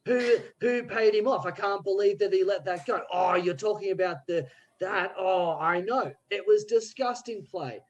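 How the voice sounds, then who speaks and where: A man's voice: sits high, normal speed, voice pushed up. A man putting on a pompous, bluffing businessman who talks with self-important, emphatic bluster in an improvised character sketch. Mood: indignant.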